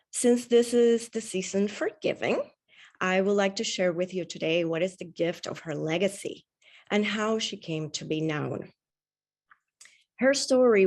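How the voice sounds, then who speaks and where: A woman from Rico, a Spanish speaker, giving a storytelling talk at a spiritual service with frequent uhs. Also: lowish voice. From Puerto Rico. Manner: warm, unhurried storytelling with frequent "uh". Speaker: guest speaker.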